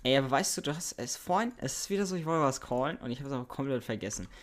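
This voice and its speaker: A man's voice: medium pitch, lively intonation, quick pace. A young man co-hosting a podcast, chatting loosely in heavy youth slang over a home mic on a call. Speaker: podcast co-host. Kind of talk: casual chat with youth slang. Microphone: home microphone over internet call.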